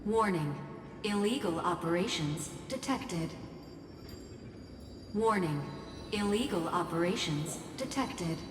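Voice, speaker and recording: A woman's voice: fairly low pitch, expressive, takes it slowly, pauses often. A woman voicing an impersonal female computer guardian in a video game, giving clipped, formal, emotionless announcements. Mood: impersonal warning. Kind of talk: scripted video game voice acting. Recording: echoey, long reverb, loud music behind.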